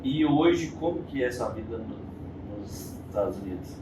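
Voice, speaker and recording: A man's voice: fairly low pitch, animated, moderate pace. A Brazilian man with a Goiás accent, hosting an interview and asking short, casual questions. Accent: Goiás Brazilian Portuguese. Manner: brief conversational prompts. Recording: echoey room, distant mic, noisy.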